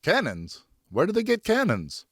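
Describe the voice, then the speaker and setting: A male narrator acts a weary French nobleman and father in a historical audiobook. He reasons earnestly and soothingly, with an animated rise and fall above the reader's usual low pitch. The sound is very dry. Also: a little slow, gravelly, husky, no room sound, exaggerated voice. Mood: surprised. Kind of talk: audiobook character acting.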